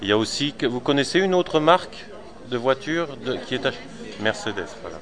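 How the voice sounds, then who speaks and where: A man's voice: medium pitch, animated, fairly quick, a crowd in the background. A man explaining to a class and prompting them with questions. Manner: quizzing listeners, prompting answers.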